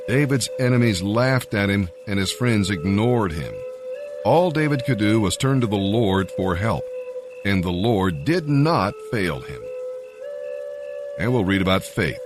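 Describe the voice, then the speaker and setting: A man reading scripture and devotional commentary from a script in a measured, earnest manner. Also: on the low side. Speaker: Bible reader and devotional narrator. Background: music.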